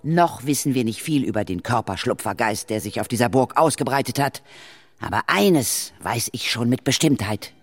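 A man playing a brisk, confident female ghost hunter in a children's radio play, husky and authoritative, with a dry explanatory manner. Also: medium pitch, lively intonation.

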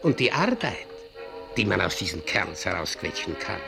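A man's voice: low voice, very animated, normal speed, gravelly, over music, full character voice. An actor in a German radio drama playing a fussy, self-important old doorman who mutters and rambles contentedly. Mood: proud, musing.

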